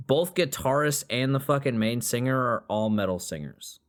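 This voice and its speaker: A man's voice: medium pitch, expressive, normal speed. A young man hosting a podcast, chatting casually, joking and swearing freely as he rambles. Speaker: podcast host. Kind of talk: casual chat.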